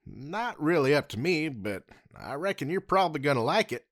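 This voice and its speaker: A man's voice: a little high, big pitch swings, normal speed, no room sound, clean studio sound. A man acting as a friendly, easygoing hero in an audio drama, with a casual, folksy way of talking. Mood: easygoing, awkward.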